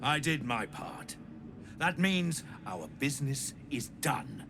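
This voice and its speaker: An actor playing a gruff, wry game trader who tells grim tales in a blunt, folksy way. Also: male voice, medium pitch, very animated, slow pace, exaggerated voice. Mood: curt, dismissive. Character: gruff unhurried male trader, sardonic messenger telling grim soul stories. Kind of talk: video game character dialogue. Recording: constant noisy ambience behind voice.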